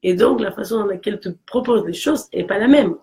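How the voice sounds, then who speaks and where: A woman from Mexico with a Mexican Spanish accent and a low voice, talking earnestly in a casual conversation. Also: a little fast, gravelly, dark tone. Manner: earnest, encouraging, with frequent restarts.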